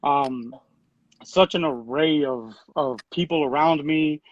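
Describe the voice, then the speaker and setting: A man in his thirties talks easily about his background, often pausing on "um" and "uh". His voice sits a little higher than most men's. The sound is dry and somewhat dull. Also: no echo. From Puerto Rico. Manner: relaxed, full of fillers and restarts. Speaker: city program director. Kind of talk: conversational interview answers.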